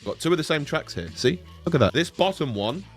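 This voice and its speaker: Animated young man, jokey tutorial host. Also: a little high, a little fast, soft background music. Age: 20s. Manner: playful, sweary, mock-teasing. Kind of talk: casual jokey software tutorial. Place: small bedroom, indoors. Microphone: desk boom-arm microphone, close.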